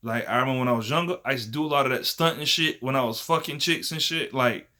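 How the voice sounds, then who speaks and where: A man's voice: medium pitch, quick pace, sharp tone. A man of thirty talking casually to the camera in a rambling, slangy and profane way, often dropping "you know what I'm saying.". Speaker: vlogger.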